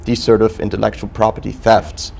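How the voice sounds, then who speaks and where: Low, gravelly, halting Austrian man. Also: level tone, darkish tone. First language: German. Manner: halting, measured. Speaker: panel guest, policy analyst. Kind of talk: panel discussion. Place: television studio.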